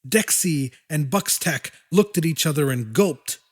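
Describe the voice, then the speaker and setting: A man's voice: fairly high pitch, lively intonation, a little slow, slightly rough. A man reading scripted fiction as an audiobook narrator, in a dramatic storytelling style.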